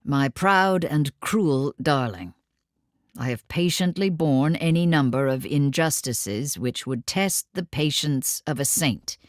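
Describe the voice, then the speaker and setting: Deep, slow-spoken, grave woman. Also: dry recording, clean studio sound. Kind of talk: scripted audio drama acting.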